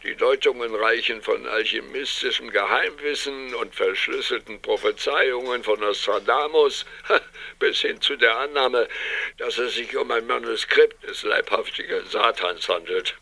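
An actor playing an irascible, self-important professor in a German audio drama, lecturing and snappish. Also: male voice, mid-range voice, unhurried, creaky, pushed and bright, exaggerated voice.

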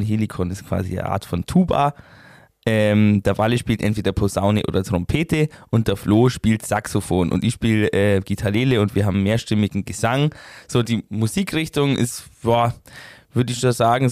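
A young man with a Bavarian accent, the songwriter and guitarist of a band, answering interview questions in a relaxed, rambling way full of fillers. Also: fairly low pitch, moderate pace, no room sound, studio quality.

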